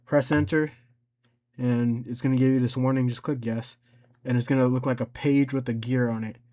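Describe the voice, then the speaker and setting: A man talking casually and unscripted through a screen-recorded tutorial, halting often, repeating his instructions and correcting himself. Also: mid-range voice, flat delivery, mellow. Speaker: tutorial creator.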